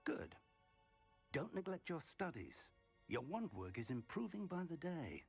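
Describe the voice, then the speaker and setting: A man's voice: a little high, huge pitch swings, unhurried, creaky, a little mellow, husky, soft background music, exaggerated voice. A man playing a wise wizard mentor in a fantasy video game, thoughtful and encouraging in manner. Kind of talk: video game character acting.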